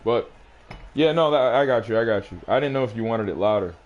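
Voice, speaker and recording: A man's voice: mid-range voice, animated, fairly quick, dull audio. Rambling man with a New England accent. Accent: New England, Rhode Island. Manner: rambling, boastful, swears freely. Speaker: streamer playing his old music. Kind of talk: casual stream chat. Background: music playing.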